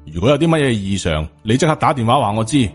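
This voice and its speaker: A man voicing an angry, suspicious middle-aged Taoist master in an audiobook, gruff and accusing. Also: medium pitch, very animated, quick pace, faint music behind, natural voice. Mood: stern, shocked.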